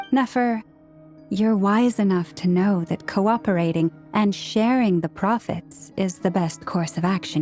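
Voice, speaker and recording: A woman's voice: medium pitch, darkish tone, natural voice. An actress playing a composed, earnest scholarly woman in a video game, speaking formally and articulately. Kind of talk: video game character acting.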